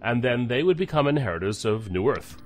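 An actor playing a measured, knowledgeable investigator in an audio drama, explaining things deliberately. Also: male voice, medium pitch, animated, natural voice. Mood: measured, explanatory. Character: male investigator briefing colleagues on a cult, measured, knowledgeable.

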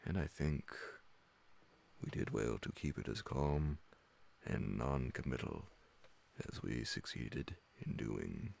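A middle-aged man reading a horror story in a hushed, measured ASMR audiobook style, pausing often, close to a studio microphone. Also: a bass voice, gravelly, a little hiss or hum. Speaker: narrator reading classic horror stories. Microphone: large studio condenser microphone close beside him, headphones on.

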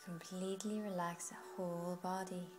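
A woman leading a guided meditation as a yoga teacher, speaking in a soothing, unhurried way with long pauses. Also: on the low side, flat delivery. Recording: music playing behind the voice. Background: music.

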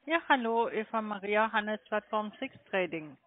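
A woman telemarketer on a sales call. Her pitch is average for a woman, and she comes through a thin, tinny phone line. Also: expressive, moderate pace.

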